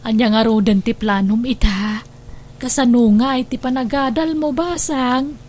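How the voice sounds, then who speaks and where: A woman's voice: a little high, steady tone, normal speed, darkish tone, close to an everyday voice. A woman acting a caring mother-in-law who frets over her wayward son in an Ilocano radio drama, with a Filipino Ilocano accent. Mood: caring, reassuring.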